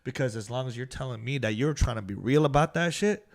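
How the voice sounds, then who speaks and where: A man's voice: medium pitch, expressive, fast, creaky. A young man chatting casually on a group podcast, speaking emphatically and bluntly with slang and frequent swearing.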